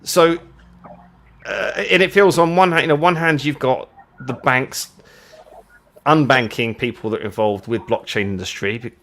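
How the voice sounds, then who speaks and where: A man's voice: medium pitch, unhurried, pauses often, fairly bright tone, a little hiss or hum. A man from the UK, co-hosting a casual live chat and rambling as he repeats words while searching for his point. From United Kingdom. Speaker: co-host.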